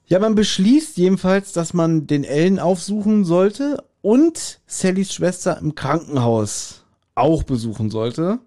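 A man's voice: sits high, very animated, measured pace, warm tone. A man chatting casually as a podcast host, retelling stories informally. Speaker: podcast host reviewing audio dramas. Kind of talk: casual chat.

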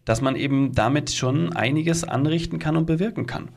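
Creaky-voiced man in casual chat. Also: fairly high pitch, normal speed. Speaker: podcast co-host.

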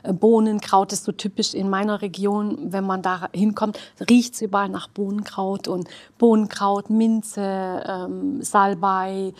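A woman's voice: medium pitch, little pitch movement, measured pace, hardly any pauses. A woman whose first language is Arabic, a guest on a talk show, earnestly explaining herbs with many fillers and restarts. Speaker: guest, esoteric herbalist. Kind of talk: casual talk-show conversation.

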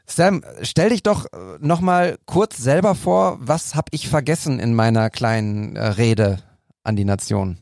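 A man's voice: mid-range voice, measured pace, vocal fry, darkish tone, no echo, studio quality. A man hosting a podcast, chatty and a bit jokey, sounding a little tired late in the evening. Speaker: podcast host.

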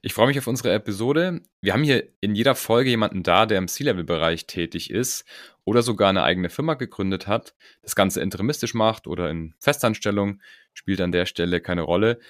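A man with a very deep voice hosts a podcast interview at a brisk clip. He is chatty and upbeat, with fillers and quick asides. His voice sounds dry and close to the mic. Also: no echo. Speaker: podcast host.

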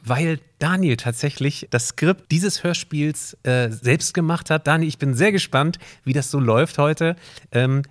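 A man's voice: on the high side, no room sound, clean studio sound. A man speaking as co-host and exhibition curator, introducing a podcast episode.

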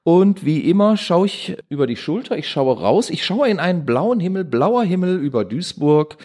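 A man's voice: fairly high pitch, very animated, normal speed, a little mellow. A man hosting a casual podcast interview in a playful, jokey way. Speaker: podcast host.

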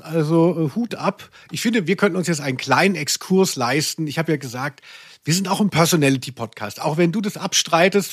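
A man's voice: on the high side, a bit bright, husky, dry recording, clean studio sound. A man co-hosting a podcast in a casual, chatty and wry way. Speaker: podcast co-host.

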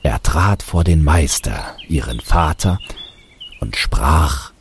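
A man reading a fairy tale aloud as an expressive storybook narrator. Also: rumbling low, slow, slight background noise.

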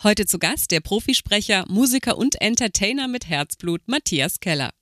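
A woman's voice: medium pitch, expressive, moderate pace, fairly bright tone, no room sound, clean studio sound. A woman, a native speaker of both German and English, hosting a podcast and speaking as a trained voice actress. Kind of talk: podcast hosting, scripted intro and casual chat.

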